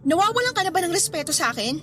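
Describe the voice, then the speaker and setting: A woman's voice: very high voice, very animated, racing, pushed and bright, exaggerated voice. A woman acting a hurt but firm, forgiving young girlfriend in a Tagalog radio drama, scolding and insistent. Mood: upset, reproachful.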